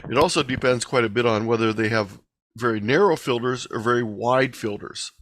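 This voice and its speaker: A man with a mid-range voice presents an instructional online lecture. He explains in a measured, deliberate manner, phrasing points clearly as a presenter would. The sound is dry, with little room echo, through a video call microphone. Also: medium pitch, fairly bright tone. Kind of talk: instructional webinar lecture with slides.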